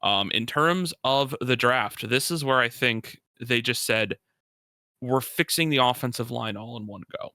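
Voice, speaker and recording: Male sports co-host, very bright tense edge, emphatic and opinionated, dry close sound. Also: mid-range voice, unhurried, no echo. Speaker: podcast co-host. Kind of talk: casual sports talk, analysis.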